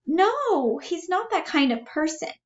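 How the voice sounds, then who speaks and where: A woman's voice: extremely high pitch, sing-song, slow pace, highs cut off, pitched above the performer's own voice, heavily acted. A woman voicing an anxious, naive grown daughter in love, earnest and pleading, in a dramatic play reading. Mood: distressed, pleading.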